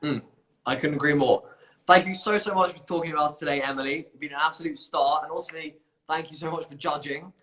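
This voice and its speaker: Chatty, bright-voiced man hosting a livestream. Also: fairly high pitch, steady tone, fast talker, poor audio. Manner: chatty, self-deprecating, bumbling. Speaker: competition livestream host. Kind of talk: informal live presenting.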